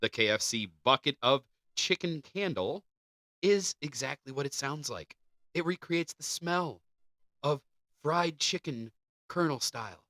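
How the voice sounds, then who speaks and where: A man's voice: on the high side, lively intonation, measured pace, bright, forceful tone, no echo, clean studio sound. A man co-hosting a podcast, reading articles aloud with casual banter. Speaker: podcast co-host.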